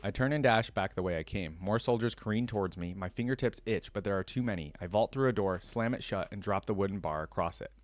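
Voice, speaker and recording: A man hosting a reaction video, reading a story aloud and adding casual, expressive comments of his own. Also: lowish voice, fast, few pauses, no echo.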